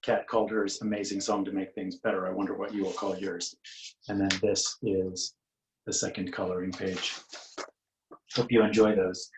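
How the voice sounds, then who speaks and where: A man's voice: low-pitched, level tone, normal speed, echoey room, noisy background. A man reading a picture book to young children as its author, in a singsong, inviting way with questions for listeners.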